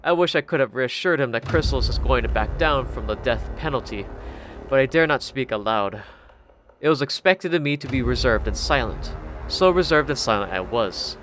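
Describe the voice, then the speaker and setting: A man narrates first-person fiction in a scripted storytelling style. His intonation is very lively at a medium pitch, and music plays clearly underneath. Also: normal speed. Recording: loud music bed underneath.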